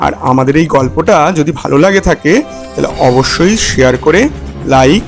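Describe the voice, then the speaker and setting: A man's voice: fairly high pitch, fairly quick, creaky, faint music behind. A man playing an old deer godfather in a puppet story, admonishing and preachy. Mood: advising. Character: old male deer godfather, knowing, moralizing. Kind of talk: puppet story character acting.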